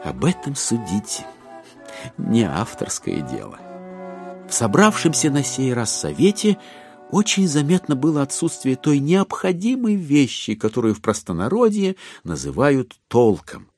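A man's voice: medium pitch, very animated, a little slow, a little mellow, soft background music. A man narrating a dramatized literary classic in a wry, ironic manner.

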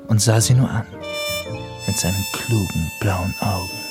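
A man's voice: low-pitched, unhurried, loud music behind the voice. A man playing a courteous, rather self-satisfied police investigator in a radio play, who also narrates his own exploits. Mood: suave narrating. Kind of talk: radio drama acting and narration.

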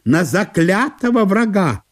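Man acting a high-pitched, indignant provincial gentleman. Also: sing-song, a little slow, heavily acted. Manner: indignant, pompous, emphatic. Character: prim, offended provincial gentleman filing a lawsuit. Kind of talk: theatrical audiobook acting.

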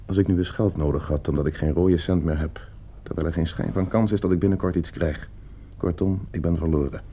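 Gravelly, suave, deep-voiced schemer. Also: male voice, fairly even intonation, fairly quick. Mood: coolly persuasive. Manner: suave, calculating, condescending. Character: coldly scheming, broke gentleman heir plotting his uncle's murder. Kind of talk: Dutch radio play acting. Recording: muffled old radio play recording.

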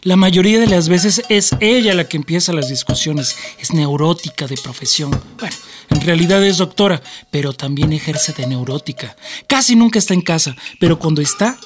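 A man's voice: on the high side, expressive, normal speed, natural voice. A man playing a touchy, boastful, sociable husband who drinks, in an aggrieved radio drama monologue. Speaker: actor in a radio play. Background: music.

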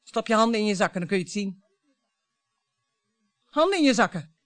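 Actress as a theatrical, bitter wife, swooping pitch. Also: female voice, very animated, a little fast, no room sound, faint music behind, close to an everyday voice. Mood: wry, confiding. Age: 50s. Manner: theatrical, mocking, swinging between coaxing and scorn. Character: bitter, theatrical wife in her fifties, taunting her husband. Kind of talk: radio drama acting.

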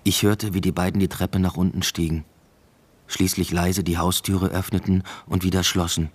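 A man's voice: deep voice, flat delivery, moderate pace, slightly rough. An actor playing a brooding first-person narrator in a radio drama, telling of his collapsing life in a subdued, matter-of-fact, introspective way. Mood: subdued, reflective.

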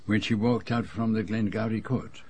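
An actor playing a fastidious Belgian detective with a French-speaking accent, precise and formal in a radio drama. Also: male voice, on the low side, steady tone, mellow, a little hiss or hum, lightly acted. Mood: puzzled, probing.

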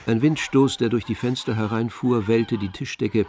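An actor as a composed, observant narrator reading literary prose in a radio play. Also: male voice, mid-range voice, fairly even intonation, moderate pace, darkish tone, slight background noise, natural voice. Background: music.

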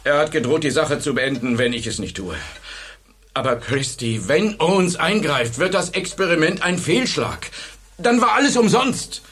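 Driven teacher turned little dictator, high voice, intense and commanding, german radio play. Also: male voice, animated, normal speed, a bit bright, breathy edge. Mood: subdued, resolute. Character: driven male high school teacher playing charismatic dictator. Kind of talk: German radio drama acting.

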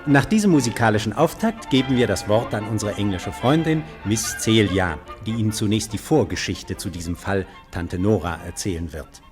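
Low-voiced 1950s radio announcer man. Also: lively intonation, normal speed, hardly any pauses. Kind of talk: formal scripted introduction, 1950s radio. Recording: 1950s radio recording, music behind.

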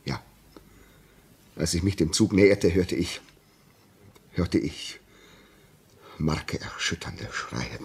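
An actor playing a grave, shaken railway signalman in a German radio play, telling his story haltingly and insistently. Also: sits low, animated, breathy edge, full character voice. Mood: grave, tense. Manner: slow, halting, repeats words for emphasis.

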